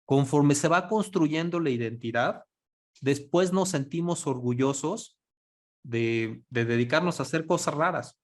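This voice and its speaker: A man's voice: fairly high pitch, unhurried. Man telling a story. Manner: storytelling, quotes others in lively voices. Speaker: panelist. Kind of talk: conversational talk in an online panel.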